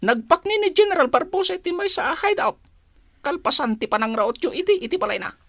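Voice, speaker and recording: A woman's voice: very high voice, lively intonation, brisk, a bit bright, no echo, clean studio sound, exaggerated voice. A woman with a Filipino accent playing an anxious informant in a radio drama. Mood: urgent, secretive.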